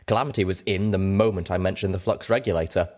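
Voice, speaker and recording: Male audiobook reader, deep pitch, scripted first-person fiction narration. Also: steady tone, fast, a little mellow.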